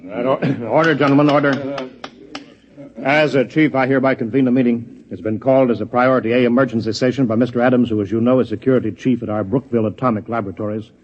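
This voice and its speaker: An American actor playing a middle-aged, formal and bemused Pentagon chief in a 1950s radio drama, deliberate and official. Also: male voice, medium pitch, steady tone, close to an everyday voice. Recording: muffled old radio recording.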